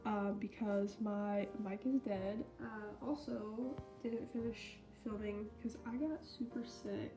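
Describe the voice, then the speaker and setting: A woman's voice: medium pitch, low-quality recording. A young woman with a cold, sounding stuffed up, chatting casually and apologetically about her art business while talking into a phone as a microphone in her home studio. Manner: chatty, self-deprecating, apologetic. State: congested with a cold. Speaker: artist vlogger. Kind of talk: casual chat to camera.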